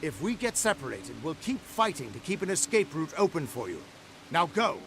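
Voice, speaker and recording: Animated, resolute warrior, music behind. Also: male voice, a little high, moderate pace. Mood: urgent, resolute. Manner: earnest, commanding, emphatic. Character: battle-weary, resolute male warrior and rebel leader. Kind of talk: dramatic video game dialogue. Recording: music under the dialogue.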